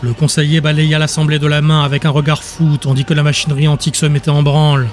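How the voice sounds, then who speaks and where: A man reading dramatic scripted narration as an audiobook narrator. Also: a little high. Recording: music behind the voice.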